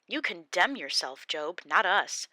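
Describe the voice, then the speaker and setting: A woman gives voice to Eliphaz, a stern, self-righteous man who lectures rather than listens. The delivery is firm and measured. The tone is bright and sits a little low, in a dry close reading. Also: very animated, no room sound, exaggerated voice.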